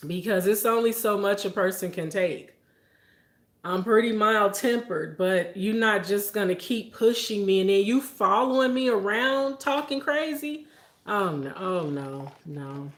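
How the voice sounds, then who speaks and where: Animated woman telling a story, echoey room. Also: measured pace. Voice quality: deep voice for a woman. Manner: animated, laughing, talks straight to viewers. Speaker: vlogger. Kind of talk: casual storytelling to viewers.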